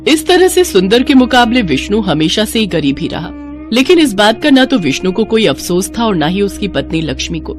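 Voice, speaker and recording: A woman's voice: mid-range voice, big pitch swings, normal speed, over music. A woman reading scripted narration for a moral story.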